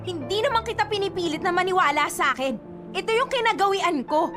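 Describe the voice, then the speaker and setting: Young female lead for radio drama: high-pitched, stubborn, expressive girlfriend with faint music underneath. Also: brisk. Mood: stubborn, annoyed. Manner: insistent, expressive. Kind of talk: Filipino radio drama acting.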